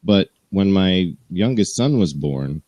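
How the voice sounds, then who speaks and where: A man's voice: low voice, animated, slow pace, darkish tone, no room sound. A middle-aged man co-hosting a live video chat, friendly and organized in a wordy way. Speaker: co-host. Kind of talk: casual chat. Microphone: video call.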